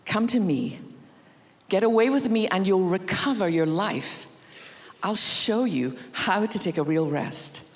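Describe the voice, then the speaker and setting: A woman's voice: mid-range voice, animated, measured pace. Scottish woman preaching in a reverberant hall. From Scotland. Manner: warm anecdotal humor, acts out quotes. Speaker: guest preacher. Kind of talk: sermon storytelling. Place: large church auditorium.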